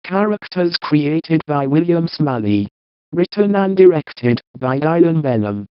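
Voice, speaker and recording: A man's voice: fairly high pitch, big pitch swings, measured pace, clear, resonant tone, dry recording, lo-fi sound. A synthetic text-to-speech man reads scripted audio description, announcing credits and scenes.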